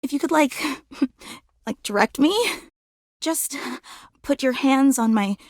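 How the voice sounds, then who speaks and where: A woman playing an excitable, nervous young fangirl in a video game, gushing, flustered and apologetic. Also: high-pitched, slow, dry recording, studio quality. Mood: flustered, giddy. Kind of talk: video game character voice acting.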